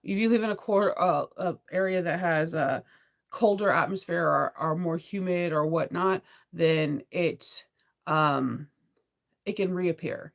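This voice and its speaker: Congested American woman, lowish voice. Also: unhurried, gravelly. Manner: rambling, giggly, conversational; sleepy, low energy. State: congested with a cold, voice going in and out. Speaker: sewing vlogger. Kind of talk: casual livestream chat.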